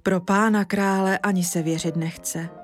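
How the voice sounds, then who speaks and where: A woman voicing a steady village father in a storybook reading. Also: medium pitch, faint music behind, lightly acted. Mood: amazed.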